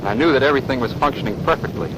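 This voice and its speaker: An American man, a navy officer, giving a formal interview account in a measured, matter-of-fact manner. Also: mid-range voice, animated. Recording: noisy 1940s outdoor newsreel recording.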